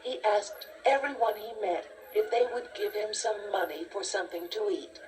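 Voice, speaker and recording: A woman reading a scripted children's story in a measured, expressive storytelling manner. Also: mid-range voice. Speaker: storybook narrator. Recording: distant-sounding cassette tape transfer. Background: music.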